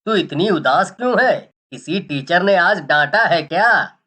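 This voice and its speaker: A woman's voice: on the low side, animated, measured pace, sharp tone, full character voice. A woman playing a caring, confident middle-aged village father, a snake charmer, reassuring and matter-of-fact in a storybook cartoon.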